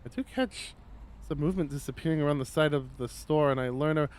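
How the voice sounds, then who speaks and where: A man reading a visual novel aloud on a stream, casual and expressive, with rambling conversational asides. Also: a little high, fast talker.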